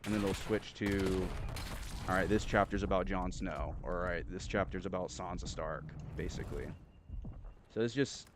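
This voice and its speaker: Deep-voiced man rambling casually over game noise. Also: steady tone. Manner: halting, repetitive, slangy, heavy on filler "like". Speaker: video game streamer. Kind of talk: casual rambling chat while gaming. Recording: gunfire and game noise behind. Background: video game gunfire and clicks.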